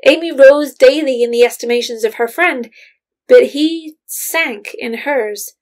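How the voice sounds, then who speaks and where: A woman narrating a period audio drama in a measured, literary storytelling manner. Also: sits high, darkish tone.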